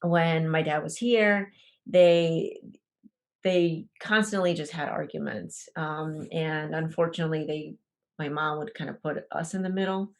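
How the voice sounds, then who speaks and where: Low-voiced woman telling her story haltingly. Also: fairly even intonation. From Ecuador. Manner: hesitant, many fillers and restarts. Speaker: podcast guest. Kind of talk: personal storytelling.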